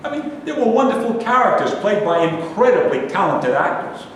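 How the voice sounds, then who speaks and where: A man in a staged play reading, playing a bitter, nostalgic, judgmental city loner who rants haltingly. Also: high voice, very animated. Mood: defensive, nostalgic. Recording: very echoey hall, distant mic, faint noise.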